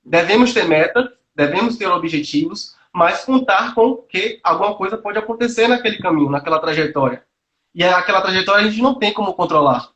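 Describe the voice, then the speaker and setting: A man's voice: sits high, lively intonation, fairly quick, a bit bright, lo-fi sound. A young man from Salvador, Brazil, a nutrition student explaining his views earnestly on a video call, thinking aloud with frequent restarts and fillers. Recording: roomy echoey call audio.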